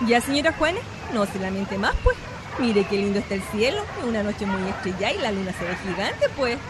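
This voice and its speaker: An actress portrays a poor, plain-spoken Chilean mother in a radio drama. She speaks at a middling pitch in a resigned, neighbourly, colloquial way. A crowd and clear noise sit behind her. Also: sing-song, a little fast, natural voice. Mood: consoling. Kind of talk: Spanish-language radio drama acting. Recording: noisy track with crowd, dogs, street ambience.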